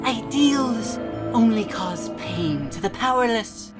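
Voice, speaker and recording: A woman's voice: a little high, lively intonation, takes it slowly. A woman playing a coldly mocking, vengeful masked villain in a dramatic video game monologue reading. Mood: cold, ominous. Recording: music behind the voice.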